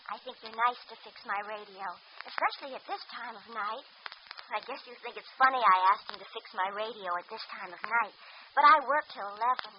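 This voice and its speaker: A woman's voice: a little high, brisk, close to an everyday voice. An actress in a 1940s radio drama playing a naive, touchy young woman who is chatty and easily offended. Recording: dull old radio broadcast with clear hiss.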